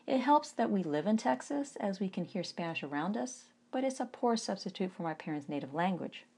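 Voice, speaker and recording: A woman in her forties from the United States, an author giving an informal reading of her own memoir in a conversational, wry way with animated emphasis. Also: medium pitch, fairly quick, vocal fry, slightly rough. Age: 40s.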